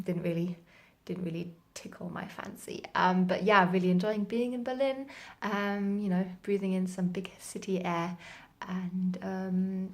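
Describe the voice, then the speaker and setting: A woman chatting casually as a book vlogger, in an informal, self-deprecating way. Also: lowish voice. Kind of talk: casual chatty reading vlog.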